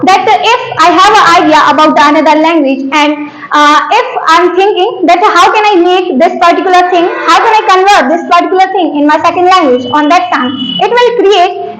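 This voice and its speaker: A woman's voice: extremely high pitch, moderate pace, a bit bright, echoey room, poor audio. A young Indian woman, a native Hindi speaker, giving a prepared classroom speech with many hesitations and restarts. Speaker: student.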